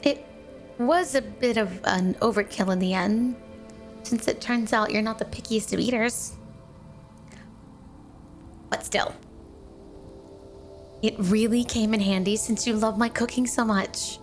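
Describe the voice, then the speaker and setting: A woman's voice: a little high, a little slow, long pauses, natural voice. A woman voicing a sweet, obsessively devoted yandere snow woman wife in a flirty, doting ASMR roleplay spoken to the listener. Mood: doting, eager. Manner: sugary, doting, flirty, clingy. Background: music.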